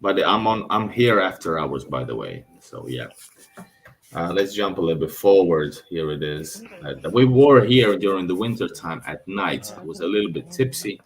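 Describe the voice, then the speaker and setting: Russian-accented male vlogger, very low voice, loose rambling delivery with frequent restarts. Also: expressive, moderate pace. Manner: rambling, with restarts and self-corrections. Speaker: travel vlogger. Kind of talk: casual talk over his own video footage.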